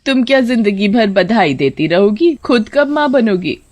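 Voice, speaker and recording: Woman doing a high, mocking village gossip. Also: expressive, measured pace, darkish tone. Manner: taunting, cackling. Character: mocking, spiteful young village woman, the friend.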